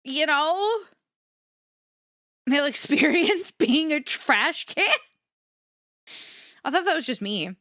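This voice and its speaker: A woman livestreamer chatting casually and candidly to her viewers, swearing freely and repeating herself for emphasis, in a versatile but slightly rough voice. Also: sing-song, slow pace, long pauses, sharp tone, no room sound, studio quality. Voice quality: versatile voice, swinging between low and high. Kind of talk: casual rambling chat to an audience.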